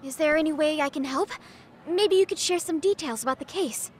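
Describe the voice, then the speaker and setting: Woman acting teenage schoolgirl sleuth, high voice, earnest, polite, game cutscene drama, faint background ambience. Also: a little fast, a little hiss or hum, natural voice. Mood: concerned, eager.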